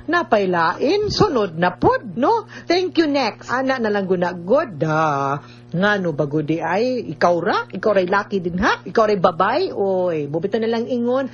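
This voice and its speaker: A woman's voice: mid-range voice, muffled recording, a little hiss or hum. A woman with a Cebuano Filipino accent chatting playfully as host of a radio advice show. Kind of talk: conversational radio hosting.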